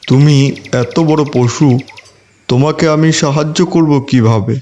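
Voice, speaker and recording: A man voicing a relaxed, plain-spoken forest animal in a children's cartoon. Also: a little high, slow, slight background noise. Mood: doubtful.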